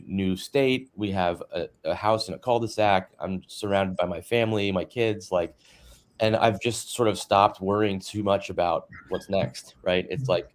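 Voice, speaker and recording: A man in his thirties reflecting casually on a video call, rambling with many fillers. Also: sits low. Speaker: party guest. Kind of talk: casual online video chat.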